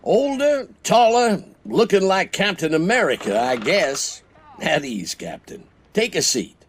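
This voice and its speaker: An actor playing a seasoned older navy admiral giving brisk, authoritative orders in a video game cutscene. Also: male voice, high voice, big pitch swings, a little hiss or hum. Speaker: admiral briefing a captain.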